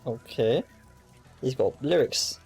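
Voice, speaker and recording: A man's voice: medium pitch, slow pace, creaky, a little mellow, husky, soft background music. A young man, playfully muttering reactions over his game. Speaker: gamer. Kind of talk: let's play commentary.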